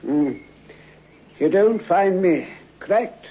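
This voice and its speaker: A man playing a weary, guilt-ridden old man in an old-time radio drama, halting and mournful. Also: high voice, lively intonation, narrow, tinny audio, heavily acted. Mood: weary, sorrowful. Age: older. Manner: halting, shuffling, mournful delivery.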